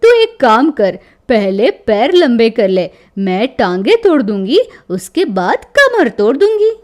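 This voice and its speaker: Older mother-in-law played by a woman, theatrical and emphatic, highly animated pitch, slow pace, comic family storybook. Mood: menacing, indignant. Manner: loud, emphatic, dramatic. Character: gullible, theatrical older mother-in-law turning sternly vengeful. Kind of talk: Hindi family comedy storybook acting.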